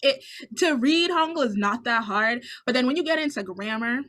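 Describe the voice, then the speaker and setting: A woman who grew up speaking Dutch co-hosts a casual podcast. Her voice is very bright and sits a little high. She talks in a loose, conversational way. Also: animated, moderate pace. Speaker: podcast co-host. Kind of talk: casual chat.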